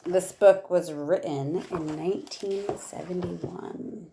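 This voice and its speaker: A woman teacher reading a rhyming picture book aloud in a measured way, with casual asides. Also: fairly low pitch, gravelly, mellow, slight background noise.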